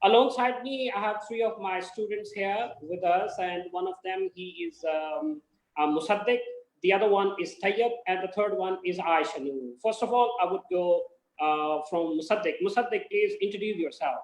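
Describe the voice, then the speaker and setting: High-voiced man from Pakistan, echoey. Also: moderate pace. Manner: hesitant, many fillers. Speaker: English teacher. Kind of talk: self-introduction on a video call. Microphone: video call. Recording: echoey room on a call.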